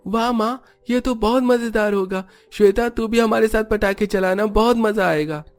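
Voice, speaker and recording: A little rich child for a cartoon tale. The voice is pitched way up, excited and spoiled, with music underneath. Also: male voice, moderate pace. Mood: gleeful, excited.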